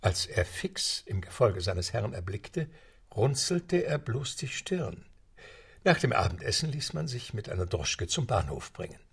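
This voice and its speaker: A man narrating a radio play with measured storytelling. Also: on the low side, sing-song, gravelly, a bit bright, husky. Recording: music beneath narration.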